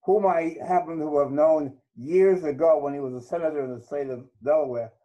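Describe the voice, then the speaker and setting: A man's voice: on the high side, a little fast, warm tone, poor audio. An older man from Trinidad, a guest author, telling his life story in a reflective interview. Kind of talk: interview conversation.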